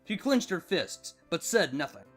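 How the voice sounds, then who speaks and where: Animated fiction narrator, mid-range voice between male and female, soft music underneath. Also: mid-range voice, normal speed. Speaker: narrator, fan fiction reader. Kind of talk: expressive scripted storytelling narration.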